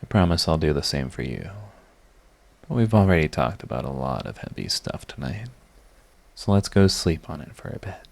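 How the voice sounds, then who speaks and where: Casting: man to act lovestruck villain for romantic audio roleplay; deep register, tender under the pride, confessional, easily flustered. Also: big pitch swings, moderate pace, vocal fry, exaggerated voice. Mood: tender, relieved.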